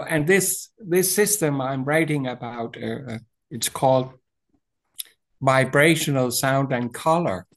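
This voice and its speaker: An older man from Denmark with a Danish accent, speaking English as his second language on a video call, halting with many restarts and throat clearing. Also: medium pitch, fairly bright tone. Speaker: author and voice teacher. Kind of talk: interview over video call. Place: small room indoors. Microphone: video call webcam.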